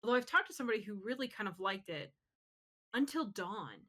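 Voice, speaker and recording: A woman's voice: mid-range voice, lively intonation, fairly quick, fairly bright tone. A woman co-hosting a podcast, casually explaining her opinions on films and games with the odd swear. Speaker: podcast co-host. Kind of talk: casual discussion of film and games.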